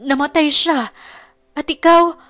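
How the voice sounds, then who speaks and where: A woman's voice: high voice, fairly even intonation, takes it slowly, fairly bright tone, soft background music, pitched above the performer's own voice. A woman voicing a tearful, apologetic older mother in a storytelling drama, pleading as she confesses.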